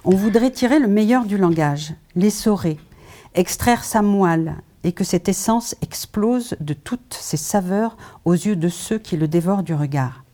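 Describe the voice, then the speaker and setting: A woman interviewing an author, reading lyrical prepared passages and asking measured questions with small hesitations. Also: medium pitch, animated, mellow. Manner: measured, reads lyrical prose then asks with hesitations.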